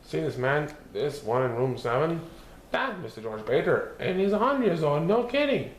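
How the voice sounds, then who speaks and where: A man reading a picture book aloud as a storyteller, expressive and sing-song, playing with repeated words. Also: on the high side, normal speed. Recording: roomy echo with faint background noise.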